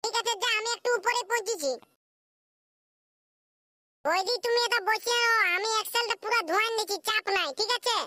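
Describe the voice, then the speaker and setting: Squeaky lovesick cartoon village lad, Purulia accent. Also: male voice, fairly quick, long pauses, bright, forceful tone, exaggerated voice. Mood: reassuring. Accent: Purulia Bengali. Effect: pitched-up cartoon voice. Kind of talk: comedy cartoon dialogue.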